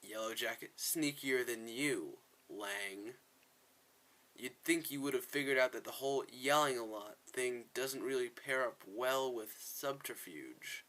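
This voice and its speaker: A man acts out a game supervillain full of scorn and menace. He is condescending and deliberate, sneering through a hard, bright-edged voice. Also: mid-range voice, natural voice, poor audio. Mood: mocking. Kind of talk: reading game story dialogue aloud.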